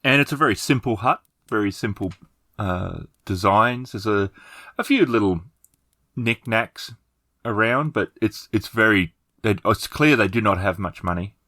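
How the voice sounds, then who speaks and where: Low-voiced man as game master. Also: a little slow, pushed and bright, dry recording, clean studio sound. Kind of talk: improvised scene narration for a tabletop game.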